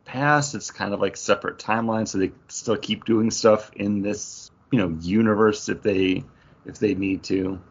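A man co-hosting a podcast, chatting casually about comics and acting out reactions in an animated way. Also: on the low side, moderate pace, husky, muffled recording. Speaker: podcast co-host.

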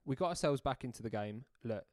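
A man's voice: medium pitch, animated, fast, darkish tone, no echo, clean studio sound. A young man co-hosting a football podcast, blunt and chatty, forever calling his co-host mate. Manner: blunt, calls everyone mate. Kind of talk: casual football chat.

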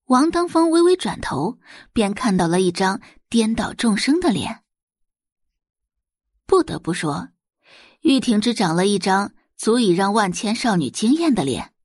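A woman's voice: mid-range voice, sing-song, measured pace, breathy edge, no echo, studio quality. A woman narrating a scripted audio drama in a dramatic storytelling style.

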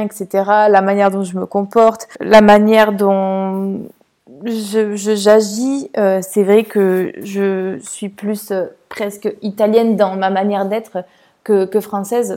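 Flat-voiced French woman musing aloud. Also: mid-range voice, measured pace. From France. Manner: hesitant, many fillers and restarts. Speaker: podcast host. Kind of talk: casual personal monologue.

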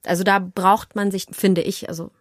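A young woman, an actress, talking as an interview guest in a casual, animated and cheeky storytelling way. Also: mid-range voice, normal speed, no echo, studio quality.

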